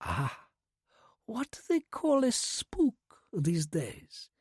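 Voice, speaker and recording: A narrator voicing a soft-spoken elderly Russian widow with a Russian accent, half-whispering, calm and shrewd. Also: mid-range voice, slightly rough, no room sound, clean studio sound, pitched above the performer's own voice, exaggerated voice. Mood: amused. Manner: quiet, slow and measured. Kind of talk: audiobook character voice.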